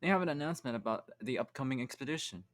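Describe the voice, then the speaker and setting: A man voicing an eager, friendly young creature in an animated comic dub, earnest and chatty. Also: medium pitch, rapid-fire, crowd noise, natural voice.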